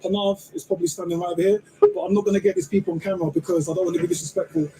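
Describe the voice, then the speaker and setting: A man's voice: sits high, huge pitch swings, rapid-fire, gravelly, warm tone, slight background noise, poor audio. A man vlogging as he walks a city, chatting with a stranger outdoors. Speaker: traveling vlogger. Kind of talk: street interview.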